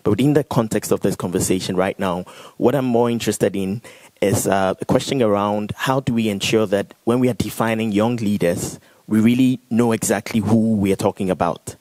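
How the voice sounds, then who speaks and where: Joking man with a low voice. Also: brisk, darkish tone, no room sound, low-quality recording. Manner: playful, joking, then probing. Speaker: audience member asking a question. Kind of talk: conference audience question.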